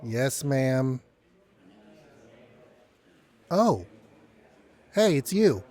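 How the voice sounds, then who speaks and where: A man playing a cautious, caring young man with a gentle, hesitant manner in an audio drama. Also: mid-range voice, big pitch swings, very slow. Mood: meek.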